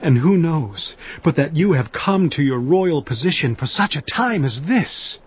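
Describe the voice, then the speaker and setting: An actor playing a stern elder kinsman in a dramatized scripture production, husky and urgently admonishing. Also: on the high side, lively intonation, measured pace, muffled recording. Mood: urgent warning.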